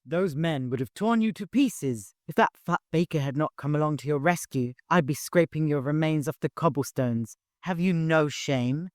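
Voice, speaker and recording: A man's voice: on the high side, moderate pace, hardly any pauses, dry recording, clean studio sound, voice pushed up, natural voice. Man acting a menacing, domineering brother. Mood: furious. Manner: swings from smooth, stern menace to screaming rage.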